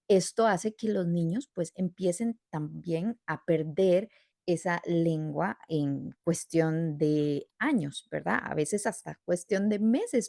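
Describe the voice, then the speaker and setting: A woman's voice: on the low side, vocal fry, darkish tone, no room sound, clean studio sound. A woman hosting an interview podcast, explaining at length and adding rhetorical questions as she goes. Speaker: podcast host. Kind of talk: warm interview chat.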